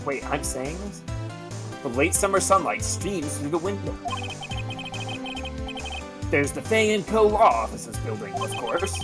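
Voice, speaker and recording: Low-voiced, animated woman streaming. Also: a little slow, pauses often, over music. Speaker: streamer reading game dialogue. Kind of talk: casual group game stream, reading and commenting.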